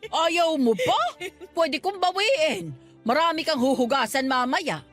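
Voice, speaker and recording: A woman's voice: high voice, very animated, unhurried, a bit bright, faint music behind, full character voice. A woman playing a fond, mock-scolding middle-aged Filipino mother and wife in a Tagalog radio drama.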